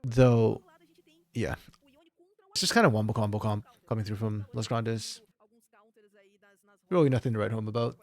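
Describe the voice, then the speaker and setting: A man with a slightly hoarse voice giving casual live commentary on a match as a solo streaming caster, thinking aloud as he goes. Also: fairly low pitch, moderate pace, long pauses. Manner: casual, thinking aloud, jumps between tech trouble and play calls. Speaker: esports caster.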